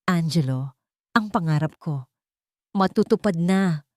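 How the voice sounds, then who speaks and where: A woman narrator plays a warm young Filipina caregiver in a love story. She speaks tenderly and earnestly, full of hope, in a dry, close sound. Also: lowish voice, animated, measured pace, dry recording. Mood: excited, grateful.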